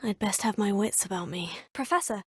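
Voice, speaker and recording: A woman's voice: medium pitch, fairly quick, no echo, lightly acted. An actress voicing a young female student witch in a video game, speaking in a polite, proper way. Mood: poised. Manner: polite, proper, crisp phrasing. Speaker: player character.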